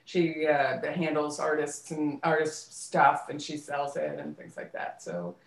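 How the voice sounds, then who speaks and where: Low-voiced American woman in a roomy space. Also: steady tone, a little slow, vocal fry. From United States, suburbs of Washington DC, then California. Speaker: interview guest, theater actress and director. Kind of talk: casual interview, recounting life story. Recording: roomy, echoey sound.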